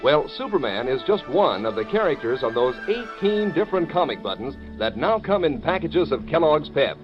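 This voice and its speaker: A male announcer from 1940s radio pitches to children with folksy eagerness. His delivery swings widely in pitch. The old recording is dull, with faint music underneath. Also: sing-song, normal speed. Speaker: radio announcer reading sponsor ad.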